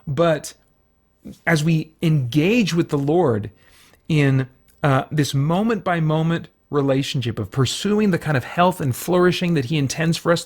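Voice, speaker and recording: Higher-pitched man, casual Bible teacher. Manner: casual, halting, thinking aloud with frequent asides. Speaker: host teaching a Bible study to an online audience. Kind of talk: informal sermon, conversational Bible teaching.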